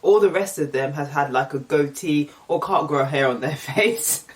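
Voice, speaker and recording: A woman's voice: deep voice, normal speed, recorded from a distance, rough recording. A young woman with an English accent chatting casually and candidly, reading out and answering get-to-know-me questions. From England. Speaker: vlogger.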